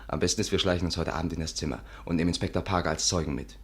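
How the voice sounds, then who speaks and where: A low-voiced man as an unflappable amateur sleuth in an old radio thriller. He talks quickly and persuasively, always sure of his plan. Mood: brisk, wry. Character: brisk, confident male amateur detective, cajoling and unflappable. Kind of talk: 1950s German radio drama acting.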